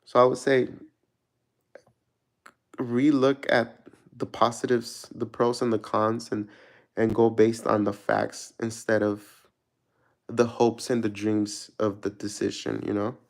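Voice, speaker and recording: A man from Mexico doing a casual tarot reading for viewers, rambling and searching for words, relaxed and laughing at himself. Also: lowish voice, slow pace, gravelly, pauses often. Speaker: tarot reader answering viewer questions.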